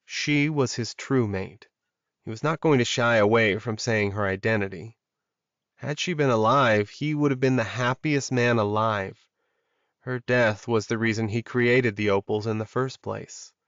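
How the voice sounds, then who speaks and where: A man with a medium-pitched voice narrates fiction in audiobook style. The reading is scripted, and the recording is very dry and close, with a slightly muffled top end. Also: measured pace, fairly bright tone, dry recording.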